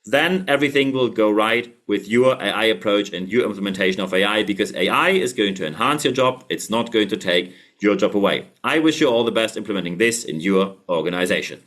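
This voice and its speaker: A man's voice: fairly low pitch, animated, brisk, a bit bright. A man hosting a solo leadership podcast, not a native English speaker, talking emphatically and repeating his thanks and calls to action. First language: German. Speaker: podcast host.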